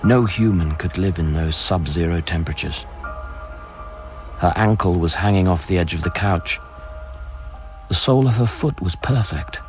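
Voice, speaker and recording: An actor playing a haunted scientist in a radio play, narrating eerie events in a measured, brooding, literary way. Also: male voice, sits low, natural voice. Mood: haunted, hesitant. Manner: measured, brooding, literary, sometimes dropping to a whisper. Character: haunted male scientist recounting eerie events aboard space station. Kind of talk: dramatic first-person radio play narration. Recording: radio play with music underneath. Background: prominent music.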